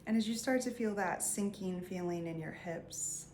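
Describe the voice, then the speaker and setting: A woman leading a guided meditation in a soft, soothing voice, leaving long pauses and sometimes trailing near a whisper. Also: mid-range voice, a little hiss or hum. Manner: soothing, unhurried, with long pauses between phrases. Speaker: meditation guide and energy healer.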